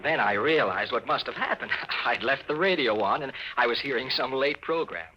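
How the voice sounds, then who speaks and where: A man's voice: medium pitch, lively intonation, normal speed, muffled recording, background noise, natural voice. An actor playing a desperate young everyman, a man telling his own story dramatically in 1950s radio style. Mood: tense, puzzled. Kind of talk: 1950s radio narration acting. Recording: old 1950s radio recording.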